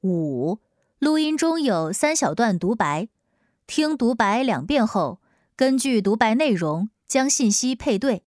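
A woman's voice: fairly high pitch, big pitch swings, unhurried, darkish tone, no echo, clean studio sound. A woman announcing formal instructions for a listening test.

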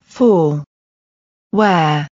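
A woman reading short scripted lines for a language listening test, deliberate and clearly enunciated. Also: deep voice, a little mellow, no echo, dull audio.

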